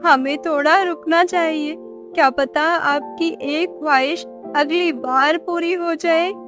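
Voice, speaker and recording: A woman's voice: high-pitched, fairly even intonation, takes it slowly, music in the background. A woman voicing a helpless, weary young mother who pleads plaintively in a moral-story cartoon. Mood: pleading. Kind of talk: acted dialogue in Hindi moral-story cartoon.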